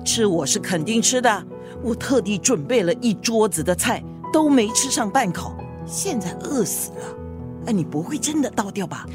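A woman playing a stubborn old grandmother in a radio drama, grumbling and scolding with sharp retorts. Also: mid-range voice, expressive, moderate pace, music in the background, lightly acted. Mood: grumbling, softened.

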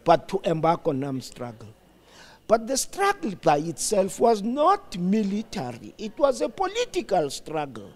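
An older South African man giving reflective interview answers in a deliberate, emphatic, explanatory manner. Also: high-pitched, huge pitch swings. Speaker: studio guest, former radio broadcaster.

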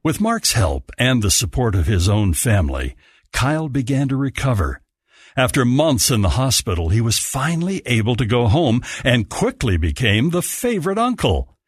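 A man's voice: mid-range voice, big pitch swings, moderate pace, slightly rough, no room sound, studio quality. A man narrating a scripted holiday story as a storyteller. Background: music.

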